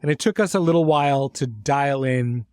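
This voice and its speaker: Man, medium pitch, dry studio-like sound, articulate, explanatory, reflective podcast talk, vivid phrasing. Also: moderate pace, darkish tone, no room sound. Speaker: series creator and writer. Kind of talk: reflective behind-the-scenes podcast conversation.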